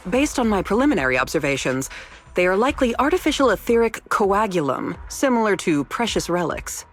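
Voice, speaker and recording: A woman voicing a young female researcher in a video game, speaking formally and precisely with dry, quiet evasiveness. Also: mid-range voice, very animated, fairly quick, soft background music, close to an everyday voice. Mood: measured, matter-of-fact. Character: young female researcher, composed, wry, quietly rule-bending. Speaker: researcher character. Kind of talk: scripted video game dialogue.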